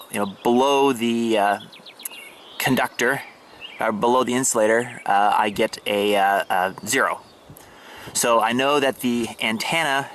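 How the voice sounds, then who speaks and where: A man giving a casual, halting show-and-tell of a hobby setup, pausing and restarting as he explains. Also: medium pitch, background noise. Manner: hesitant, halting, fragmented delivery. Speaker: amateur radio hobbyist demonstrating his setup. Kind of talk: casual demonstration vlog. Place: outdoors and in a parked vehicle in a residential neighborhood.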